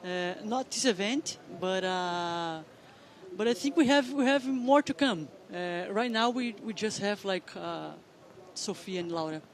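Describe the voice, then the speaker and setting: A woman with a Brazilian Portuguese accent, a former pro surfer commentating live, halting with many fillers and restarts. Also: medium pitch, lively intonation.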